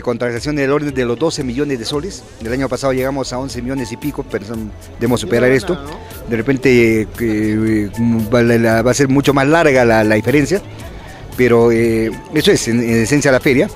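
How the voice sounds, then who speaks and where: A man's voice: mid-range voice, fairly even intonation, a little fast, faint music behind. Man speaking at an outdoor fair. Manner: fluent, promotional, with many fillers and tag questions. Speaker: bank executive interviewed. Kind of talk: outdoor press interview. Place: outdoor fairground, busy event. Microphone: handheld reporter microphone.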